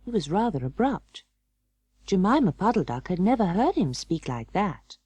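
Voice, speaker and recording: A woman narrating a children's story in an expressive, measured and dramatic storytelling manner. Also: mid-range voice, breathy edge, dry recording. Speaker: audiobook narrator.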